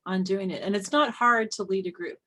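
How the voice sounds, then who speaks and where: A woman co-host with a husky, slightly breathy voice talks about leading a study group. She is friendly and confiding, and she laughs easily during the casual chat. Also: medium pitch, a little fast, fairly bright tone. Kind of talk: casual teaching conversation.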